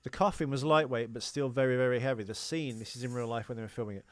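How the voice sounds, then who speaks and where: A man talks casually about films as a podcast co-host. His voice sits at a medium pitch and is recorded dry, with little room sound. He trades trivia in a wry, joking manner. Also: fairly even intonation, fast talker, no echo. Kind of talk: casual film discussion and trivia.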